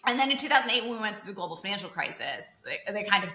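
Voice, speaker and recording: Bright-voiced chatty woman. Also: mid-range voice, big pitch swings, racing, echoey room, a little hiss or hum. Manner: chatty, lots of "like" and asides. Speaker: podcast host. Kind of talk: casual explanatory chat.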